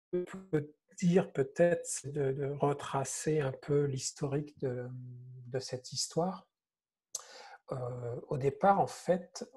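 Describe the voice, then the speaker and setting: A man, an author speaking as a guest on an informal panel, casual and halting, often repeating his words. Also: mid-range voice, darkish tone, husky. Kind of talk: informal live panel talk.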